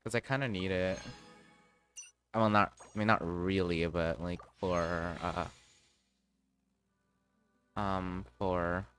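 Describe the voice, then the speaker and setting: A man live-streaming a game, thinking aloud in a casual, halting way with some mild swearing. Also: low-pitched, steady tone, fairly bright tone, faint music behind.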